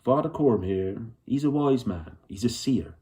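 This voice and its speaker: A man voicing a kindly, plain-spoken old leader in a children's storybook, quietly commanding and direct, with folksy rustic grammar. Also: mid-range voice, expressive, normal speed, natural voice. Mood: confiding.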